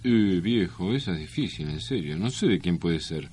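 A man's voice: low voice, animated, moderate pace, darkish tone, a little hiss or hum. A man with an Argentine accent co-hosting a radio show with scripted comic banter. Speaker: radio co-host.

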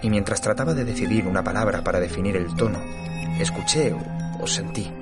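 Low-pitched man acting as weary surveyor, grave measured narrator of a horror audio drama, music underneath. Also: close to an everyday voice. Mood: haunted. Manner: measured, literary, sombre. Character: weary male surveyor narrating his eerie horror tale. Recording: music behind the voice.

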